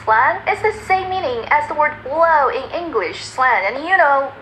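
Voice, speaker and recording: A woman's voice: high voice, expressive, normal speed, a bit bright, a little hiss or hum, poor audio. A woman giving informal explanatory commentary, playfully translating and glossing lines as she goes. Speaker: video essayist explaining lines.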